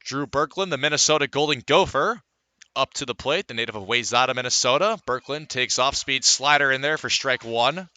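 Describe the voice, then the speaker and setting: A man's voice: mid-range voice, brisk, pushed and bright, no echo. A man calling live baseball play-by-play in a radio commentary style, filling gaps with player statistics.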